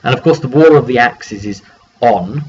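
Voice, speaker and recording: A man's voice: medium pitch, moderate pace, a little mellow. Man rambling casually through dull, noisy audio. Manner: rambling, conversational, acts out brief dialogue. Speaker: game master recapping a session. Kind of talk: casual one-take vlog recap of a tabletop campaign. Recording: dull lo-fi sound, clear background noise.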